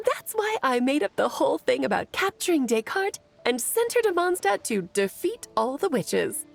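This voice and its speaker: Game casting: mischievous doting witch mother, highish, very lively intonation, theatrical, over music. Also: unhurried, vocal fry, exaggerated voice. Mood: teasing. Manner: theatrical, teasing, gently wise. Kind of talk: video game voice acting. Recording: music behind the voice.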